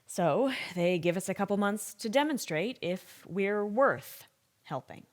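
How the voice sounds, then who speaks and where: An actress playing a thoughtful, troubled expert in an audio drama interview, speaking in a measured, reflective way. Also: female voice, mid-range voice, lively intonation, close to an everyday voice. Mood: grave, reflective.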